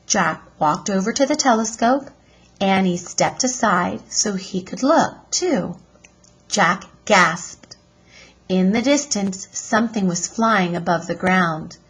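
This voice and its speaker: Female read-aloud teacher, unhurried and slightly rough-voiced, telling a chapter book to children with expression. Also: medium pitch. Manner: deliberate, expressive storytelling.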